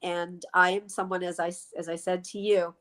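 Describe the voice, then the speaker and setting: A woman's voice: on the low side, flat delivery, moderate pace, a bit bright. Reflective woman in her sixties. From Philadelphia Main Line, USA. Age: 60s. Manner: reflective, laughs often, repeats words while thinking. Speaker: co-host. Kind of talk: casual live chat.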